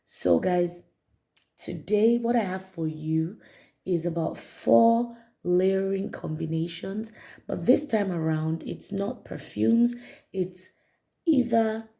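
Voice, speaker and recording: A woman reviewing perfumes in a chatty vlog, her voice raspy and hoarse from a cold. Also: medium pitch, slow pace, dark tone. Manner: chatty, repeats herself, many fillers. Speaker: perfume vlogger. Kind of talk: casual product review to camera.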